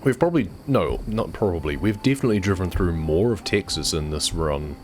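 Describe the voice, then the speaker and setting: A man chatting casually as he streams a trucking game, his voice hoarse and nearly gone after a cold. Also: sits low, brisk, a little hiss or hum. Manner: relaxed, chatty, talks to himself while driving. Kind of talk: casual gameplay commentary.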